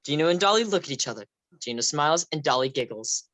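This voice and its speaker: Low-voiced woman reading stage directions. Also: animated, fast talker, fairly bright tone, no echo. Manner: plain and matter-of-fact.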